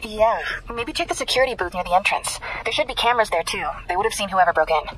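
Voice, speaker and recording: An actress playing a sharp young woman in a video game, brisk and probing, with a dry teasing wit. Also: medium pitch, expressive, slight background noise, close to an everyday voice, poor audio. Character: sharp, dryly witty young woman, hero's confidante.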